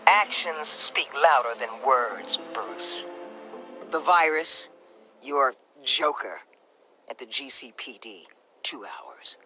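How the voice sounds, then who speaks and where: An actor playing a ruthless, commanding government boss in a video game, curt and authoritative. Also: male voice, mid-range voice, expressive, takes it slowly, bright, forceful tone, faint music behind, heavily acted. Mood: cold menace.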